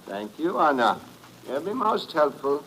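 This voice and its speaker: An actor playing a suave, calculating crime boss in an old radio drama, smooth, measured and formally courteous. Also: male voice, fairly high pitch, sing-song, a bit bright. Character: suave, calculating male European criminal boss. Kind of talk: 1950s radio drama acting. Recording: noisy old radio recording.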